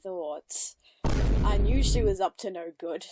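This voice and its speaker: A woman's voice: mid-range voice, a little slow, heavy background noise. A woman hosting casual reaction commentary, chatty and upbeat, full of fillers and self-corrections. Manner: giggly, upbeat. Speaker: reaction channel host.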